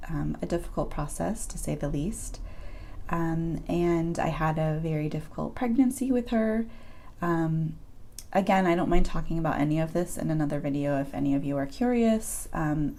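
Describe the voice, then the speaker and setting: A woman in her thirties with a hoarse voice, chatting casually to her viewers as she answers their questions, with frequent ums. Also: deep voice, mellow. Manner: chatty, many ums, open about personal experiences. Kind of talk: casual chat to camera.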